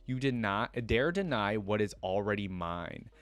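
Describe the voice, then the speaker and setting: A man's voice: fairly low pitch, animated, unhurried, fairly bright tone, clean tone, dry recording. A man voicing a monstrous, possessive demon in a story read aloud. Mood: menacing. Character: monstrous demon claiming a boy, menacing and possessive.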